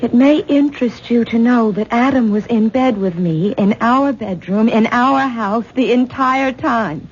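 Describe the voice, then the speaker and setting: A woman playing an anxious, exasperated wife in a 1970s radio drama, halting and repeating words as she insists. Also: fairly high pitch, natural voice. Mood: agitated, insistent. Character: anxious, exasperated wife and young mother, adult daughter. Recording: dull, noisy 1970s radio broadcast recording.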